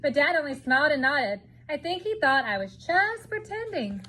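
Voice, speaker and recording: Woman, high voice, storybook read-aloud, expressive delivery, drawn-out emphasis. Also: slight background noise. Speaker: storybook reader. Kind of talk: children's picture book read-aloud.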